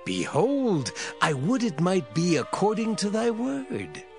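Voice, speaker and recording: Slow, swooping, coaxing biblical patriarch. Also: male voice, high-pitched, wildly swooping pitch, soft background music, full character voice. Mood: bargaining. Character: Laban, entreating wealthy patriarch herd owner.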